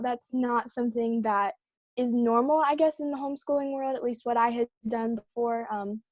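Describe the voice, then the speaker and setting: A woman's voice: fairly high pitch, darkish tone, no echo. A teenage girl from the United States giving a casual testimonial as a student panelist. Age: teenager, about 15. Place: online conference call, close dry sound.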